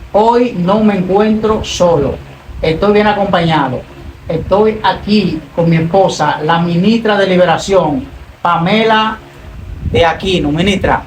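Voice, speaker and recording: Affirming man hosting a faith interview. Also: sits high. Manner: affirming, frequent amens and praise. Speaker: interview host. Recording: echoey room with noise.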